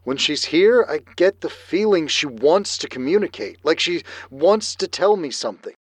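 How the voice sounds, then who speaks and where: An actor playing a troubled, haunted man who confides in a halting, intense way. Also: on the high side, animated, dry recording. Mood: troubled, uneasy. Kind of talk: audio drama acting.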